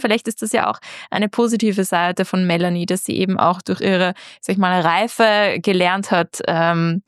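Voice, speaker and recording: A woman speaks as a podcast host in an interview. Her voice sits at a medium pitch, and she phrases things in a measured, tentative way. The sound is dry and free of room echo.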